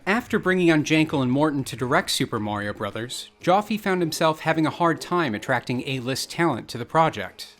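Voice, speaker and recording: A man's voice: fairly high pitch, lively intonation, fairly quick, hardly any pauses, fairly bright tone, slight background noise. A man reading scripted narration for a film video essay. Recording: music bed under the voice. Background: background music.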